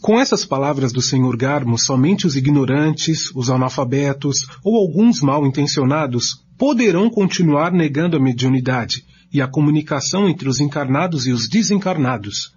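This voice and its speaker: Actor as assured male group leader, lightly high pitch, radio play, muffled sound, faint noise. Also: lively intonation, normal speed, hardly any pauses, a little mellow, lightly acted. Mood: emphatic, satisfied. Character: male group leader, reassuring, assertive, addressing companions. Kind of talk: scripted amateur radio drama. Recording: dull recording, faint background noise.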